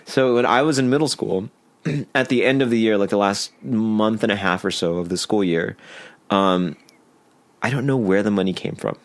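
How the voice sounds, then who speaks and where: A man whose first language is Spanish, a podcast guest chatting casually and telling stories in animated, slangy talk full of filler "like". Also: fairly low pitch, normal speed. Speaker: podcast guest, singer and songwriter. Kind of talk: casual chat.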